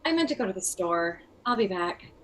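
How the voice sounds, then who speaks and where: A woman's voice: mid-range voice, very animated, fairly quick, fairly bright tone, slight background noise, rough recording. A woman playing a wry, blunt mother in a comedy script table read. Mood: brisk, exasperated. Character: wry, blunt widowed mother of two, former standup comic. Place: remote video call.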